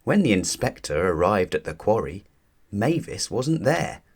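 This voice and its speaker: A man narrating a children's storybook aloud in an expressive, deliberate storytelling manner. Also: on the low side, slightly rough.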